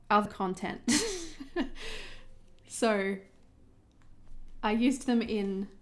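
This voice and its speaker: An Australian woman hosting a crafting livestream, talking fast and casually as she answers comments. Her voice sits at an ordinary middle pitch, and her chatter is light and giggly. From northern New South Wales, Australia. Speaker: journaling livestream host. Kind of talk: casual chat reading viewer comments while crafting.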